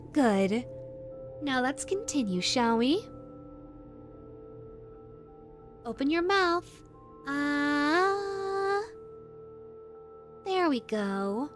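Creepy sweet yandere bunny lady, high and swoopy, clingy and coaxing, audio roleplay. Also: exaggerated voice. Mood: sugary, patronising. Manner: saccharine, coaxing, giggly, condescending. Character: possessive, sweetly menacing yandere rabbit woman. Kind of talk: roleplay audio drama addressed to listener.

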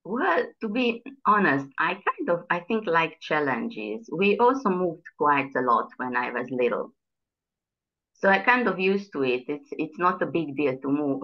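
A middle-aged woman with a Hungarian accent and a low, deep voice, talking as a guest over a video call. She speaks hesitantly, restarting sentences as she searches for words. The call audio sounds dull and lo-fi. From Transylvania, Romania. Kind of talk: casual video call interview. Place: bright room indoors.

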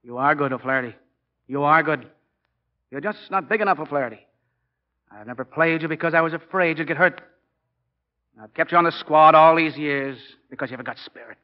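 A man's voice: on the high side, a little fast, pauses often, close to an everyday voice. Man acting as a fatherly football coach, muffled old recording. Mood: kindly, frank. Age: 40s. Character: stubborn, fatherly college football coach in his forties. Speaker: actor in a radio drama. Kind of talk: 1940s radio acting. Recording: dull, band-limited 1940s radio recording.

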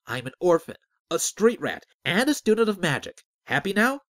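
Man voicing a defiant, impatient young student mage, raised pitch. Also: big pitch swings, normal speed. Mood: angry, demanding. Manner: loud, demanding, exclamatory. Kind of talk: fantasy audiobook character.

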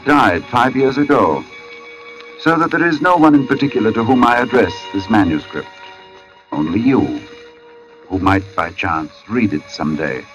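An actor playing a brooding, haunted middle-aged artist in an old-time radio suspense drama, narrating in formal, deliberate phrases with dramatic pauses. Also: male voice, on the low side, fairly bright tone, low-quality recording. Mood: somber, foreboding. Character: brooding forty-year-old male artist, haunted, precise, foreboding. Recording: vintage radio broadcast recording.

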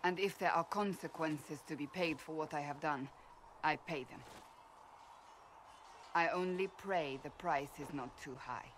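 Stern, devout warrior. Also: female voice, sits low, a little hiss or hum. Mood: grimly resolute. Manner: grave, forceful, deliberate phrasing. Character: stern, devout, blunt warrior woman in a fantasy world. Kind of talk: video game cutscene acting.

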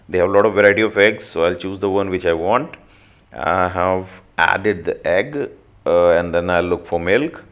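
A man giving an informal screen-recorded walkthrough as a reviewer, speaking haltingly with frequent filled pauses. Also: low voice, smooth. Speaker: app reviewer.

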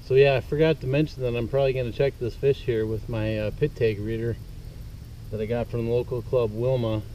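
A man's voice: medium pitch, brisk. A young man talking casually to viewers from a small fishing boat on a lake, recorded by a boat-mounted camera a few feet away. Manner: relaxed, chatty, addresses viewers as guys. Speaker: fishing vlogger. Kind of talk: casual outdoor vlog talk. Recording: dull, slightly distant boat-camera audio. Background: faint outdoor boat and water noise.

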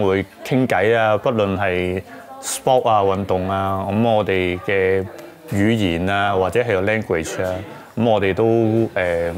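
A middle-aged man with a Hong Kong accent, speaking haltingly and reflectively in an interview, searching for his words. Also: on the low side. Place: small book-lined room, indoors.

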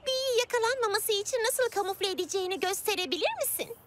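A woman voicing a kind, adventurous young girl in a children's cartoon, speaking in an encouraging, expressive way. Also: very high-pitched, quick pace, background noise. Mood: playful, eager. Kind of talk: animated children's cartoon dubbing. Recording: music behind the voice.

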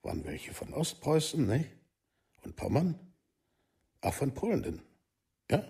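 An actor playing a puzzled village local in a documentary radio play, raspy, colloquial and ending on questioning tags. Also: male voice, a little high, sing-song, unhurried, dark tone, full character voice. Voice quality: raspy, gravelly.

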